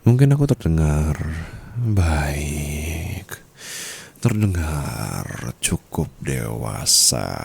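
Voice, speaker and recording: A man playing a dominant, teasing yet caring sugar-daddy husband in an intimate ASMR roleplay, murmuring and slipping into whispers. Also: exaggerated voice. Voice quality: very deep, gravelly, husky. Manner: slow, teasing, soft murmurs dropping into whispers.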